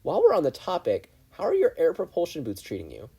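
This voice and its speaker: An earnest teenage boy, eager yet unsure of himself, played by a podfic narrator. He has a mild, soft tone with lifted, lively intonation. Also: mid-range voice, quick pace, slightly rough, voice pushed up, exaggerated voice.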